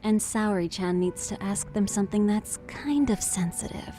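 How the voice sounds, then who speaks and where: A woman voicing a playful, flattering, worldly barroom confidante in a video game English dub, coquettish and teasing. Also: medium pitch, moderate pace, soft background music, close to an everyday voice. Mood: encouraging.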